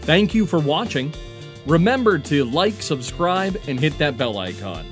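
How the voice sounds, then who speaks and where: Slow, mock-ceremonial male game show host. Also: on the high side, sing-song, faint music behind. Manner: deliberate, mock-ceremonial delivery with dramatic pauses. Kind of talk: hosting a comedy panel game.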